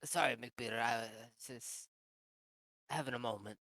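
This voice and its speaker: A man's voice: medium pitch, normal speed, pushed and bright, dry recording, clean studio sound. A man playing in an improvised tabletop game, speaking with hesitant stammering. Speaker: player.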